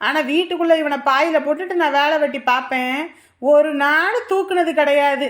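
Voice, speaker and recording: Woman as sarcastic young daughter-in-law, high pitch, roomy echo, grumbling, family drama. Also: moderate pace, natural voice. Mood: sarcastic grievance.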